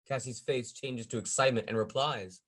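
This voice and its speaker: A man's voice: mid-range voice, brisk, a bit bright. A man reading stage directions as the narrator at a script table read.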